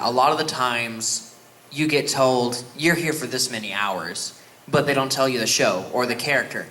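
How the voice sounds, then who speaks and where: A man voice actor answering a convention panel question in a relaxed, rambling way. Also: medium pitch, low-quality recording.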